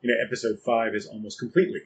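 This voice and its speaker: A man's voice: lowish voice, flat delivery, rapid-fire, a little hiss or hum. A man interviewing someone in casual chat, thoughtful and discursive as he works toward his points. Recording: dull lo-fi sound.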